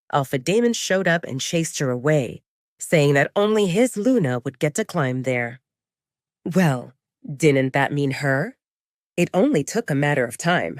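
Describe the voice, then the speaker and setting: A woman's voice: low-pitched, darkish tone, no room sound, clean studio sound. A woman narrating a scripted romantic fantasy audio drama as storyteller.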